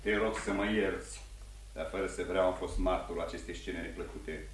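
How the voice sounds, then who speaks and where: An actor playing a tactful, reserved prince in a radio play, speaking in a measured, formal and polite way. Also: male voice, low voice, steady tone, noisy background, lightly acted. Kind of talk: mid-century Romanian radio theatre acting.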